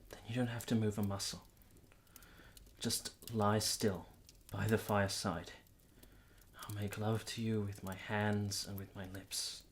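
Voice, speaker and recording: An actor playing a lovestruck, conflicted nineteenth-century man in a radio drama, speaking in earnest, measured, literary phrases. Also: lowish voice, little pitch movement, slight background noise. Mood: tender, intimate. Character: Giorgio, lovestruck, conflicted 19th-century Italian man, confessional narrator. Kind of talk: radio drama narration and intimate dialogue.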